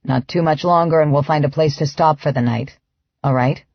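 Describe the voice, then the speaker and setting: A woman's voice: low voice, normal speed, no echo, lo-fi sound, pitched below the performer's own voice. A woman narrator voicing a rugged, confident one-eyed man, laconic and reassuring.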